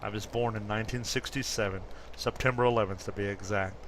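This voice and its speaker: A man's voice: on the low side, fairly even intonation, fast, slight background noise. A man in his fifties casually chatting through gameplay commentary, rambling and correcting himself as he goes. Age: 50s. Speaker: gaming YouTuber.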